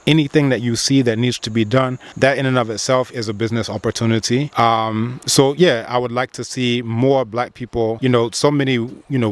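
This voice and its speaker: Impassioned man giving a preachy speech. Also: mid-range voice. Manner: forceful, preachy, rhetorical questions. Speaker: interviewee, father and founder.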